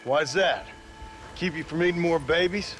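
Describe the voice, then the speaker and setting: An actor playing a gruff, skeptical male cowboy in a video game, speaking in a dry, blunt, sarcastic way. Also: on the high side, moderate pace, noisy background, natural voice. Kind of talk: video game cutscene acting.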